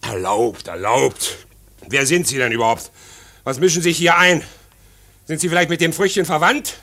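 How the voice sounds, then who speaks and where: Sneering, gravelly tavern rowdy. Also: male voice, on the high side, normal speed, pushed and bright. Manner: sarcastic, provocative. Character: sneering, aggressive tavern rowdy, cocky and mocking. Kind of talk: German radio drama acting.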